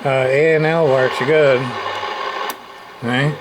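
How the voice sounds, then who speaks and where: A man talks off the cuff as he demonstrates gear he has worked on. His voice sits at an ordinary middle pitch, and his delivery is rambling and plain-spoken, with folksy grammar. A clear layer of noise, like a vehicle's hum, runs behind him. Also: steady tone, takes it slowly. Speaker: radio repairer and online seller showing gear. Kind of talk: casual unscripted product demo.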